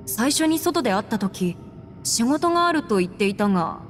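Analytical young anime game girl, bit high, formal n no-nonsense, lots of reverb. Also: big pitch swings, normal speed, faint music behind. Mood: serious. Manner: formal, crisp, matter-of-fact phrasing. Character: level-headed, analytical young woman, resolute. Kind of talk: Japanese anime game dialogue.

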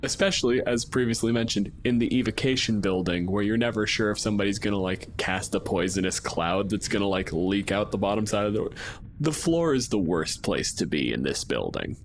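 Low-voiced man running a tabletop game. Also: expressive, brisk, slight background noise. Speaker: game master. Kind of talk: casual improvised tabletop game narration. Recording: music underneath. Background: background music.

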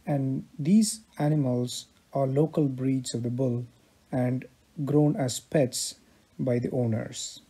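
A man's voice: medium pitch, slow pace, warm tone, husky. A man giving prepared narration about traditions over a picture slideshow. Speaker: presenter.

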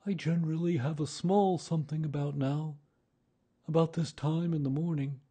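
A man voicing a small, humble, slow-witted bear from a children's storybook, speaking simply and earnestly. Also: sits high, animated, dark tone, pitched above the performer's own voice. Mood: dreamy, hungry.

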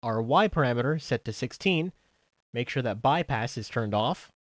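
A man giving a scripted software tutorial in a methodical, instructional way, as a voice-over on a close microphone. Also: mid-range voice, expressive, normal speed, no echo. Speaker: tutorial presenter.

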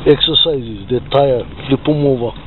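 A man's voice: mid-range voice, moderate pace. A man with a Hawaiian local accent, chatting casually as he shows places around him from inside a car. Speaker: vlogger. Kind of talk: casual chat while touring by car. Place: inside a car outdoors by the shore. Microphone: handheld camera in the car. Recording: tinny, band-limited phone video with loud car noise.

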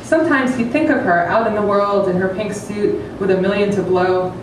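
Female literary reader, dramatic narration, heard from afar with clear background noise and heavy echo. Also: medium pitch, normal speed. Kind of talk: dramatic reading of a comic novel. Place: stage with curtains before an audience. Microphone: microphone on a table stand. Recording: very echoey hall, distant, clearly noisy.

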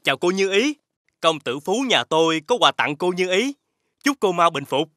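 A man voicing an obedient, formal servant of a rich young master in an animated fairy tale. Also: high voice, moderate pace, no echo, studio quality. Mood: deferential.

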